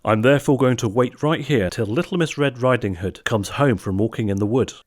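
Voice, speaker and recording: A man's voice: mid-range voice, fairly quick, no room sound, clean studio sound, close to an everyday voice. A man voicing a sly, greedy, villainous storybook wolf with a gloating, menacing manner. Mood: hungry, sly. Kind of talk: storybook verse character voice.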